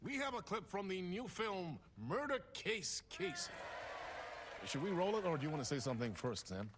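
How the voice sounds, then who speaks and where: An eager, chatty talk show host interviewing guests in a video game. His voice is somewhat high and swings animatedly, with faint noise behind it. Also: very animated, moderate pace. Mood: probing, polite. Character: smooth-talking, probing, chirpy male talk show interviewer.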